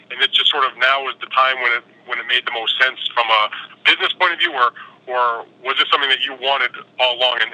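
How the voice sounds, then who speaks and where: Man, very sharp bright voice, quick pace, wordy reporter questions, phone conference line. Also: mid-range voice, poor audio. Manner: conversational, wordy, roundabout phrasing. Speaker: boxing reporter asking questions. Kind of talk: press conference call questioning. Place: on a telephone conference call. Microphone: telephone.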